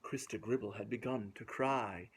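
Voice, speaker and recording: A man reading a rhyming picture book aloud to young children in a playful, expressive way with dramatic emphasis. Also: fairly low pitch, moderate pace, breathy edge. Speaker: children's storyteller.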